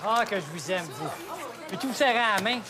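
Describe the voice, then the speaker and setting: A woman's voice: medium pitch, animated, brisk, over a noisy crowd, lightly acted. An actress playing a chatty woman customer ordering food, friendly and fussy, with a Québécois French accent.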